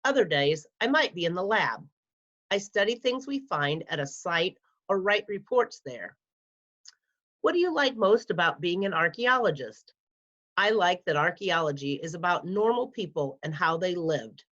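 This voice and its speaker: A woman with a fairly low voice reads aloud to young pupils like a school librarian. Her delivery is careful and clearly scripted for children. The recording sounds somewhat dull and lo-fi. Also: sing-song, normal speed, fairly bright tone. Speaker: school media specialist reading an article aloud to pupils.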